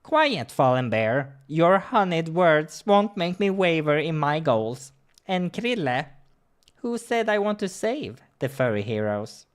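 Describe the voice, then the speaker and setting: A man's voice: a little high, big pitch swings, slow, clean tone, voice pushed up. A man voicing a bitter, weary, scheming furry villain in a visual novel, commanding and scornful.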